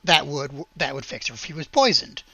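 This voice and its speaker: A woman running a tabletop game as game master, narrating casually and haltingly, sometimes muttering to herself. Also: low-pitched, sing-song, a crowd in the background. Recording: dull, slightly lo-fi audio.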